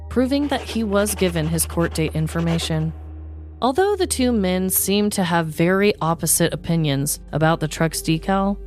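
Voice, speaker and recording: A woman narrates a scripted true-crime tale for comedy, as a podcast host. Her voice sits fairly low. Music plays underneath. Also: darkish tone.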